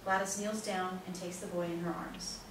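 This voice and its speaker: A woman's voice: lowish voice, little pitch movement, normal speed. Very echoey, distant woman narrator. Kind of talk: scripted stage-direction narration. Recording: very echoey, distant, noisy room recording.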